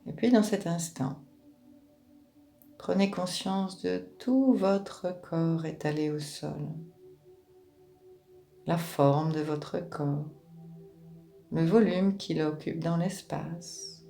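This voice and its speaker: A woman leading a guided relaxation meditation in a calm, gentle, unhurried manner, with pauses between short phrases. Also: on the low side, gravelly, faint music behind.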